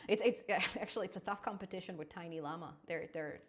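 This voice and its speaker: A young adult woman hosting a live coding stream, chatty and quick to laugh, often restarting her words. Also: on the low side. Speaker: programming channel host.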